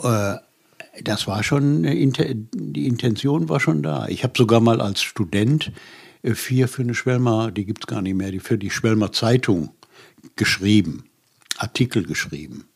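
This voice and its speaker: An older man from Germany reminiscing as an author in an interview, halting and reflective, often rephrasing mid-sentence. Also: mid-range voice, vocal fry, slightly rough. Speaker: radio play author being interviewed.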